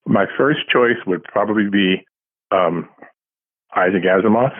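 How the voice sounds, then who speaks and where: A husky, low-voiced man around seventy speaks as an interview guest over a thin phone line. He answers casually, in a modest and reflective way. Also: a little slow, dry recording. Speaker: guest, science fiction writer. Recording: tinny, band-limited phone line.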